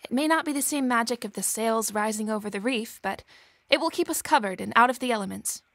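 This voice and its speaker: A woman's voice: on the high side, fast talker, a bit bright, dry recording. An actress playing a proud, earnest, headstrong young woman in a period audio drama, with formal diction. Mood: practical, assured.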